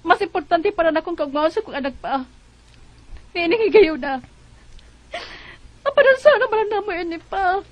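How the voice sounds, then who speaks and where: Resolute young single mom, high voice, Cebuano accent, earnest, expressive, radio drama. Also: female voice, moderate pace, pauses often, no echo, lo-fi sound. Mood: tearful, resolute. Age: young adult. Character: determined young single mother striving through heartbreak. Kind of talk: Bisaya radio drama acting.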